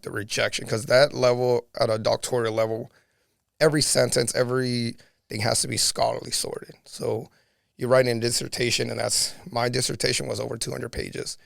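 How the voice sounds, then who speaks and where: A man with a raspy voice, a podcast guest telling his life story in an earnest, reflective way. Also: mid-range voice, no echo, studio quality. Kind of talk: casual storytelling conversation.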